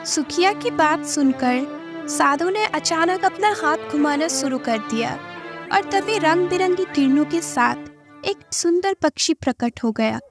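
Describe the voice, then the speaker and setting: A woman reading scripted narration for a children's cartoon story. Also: high-pitched.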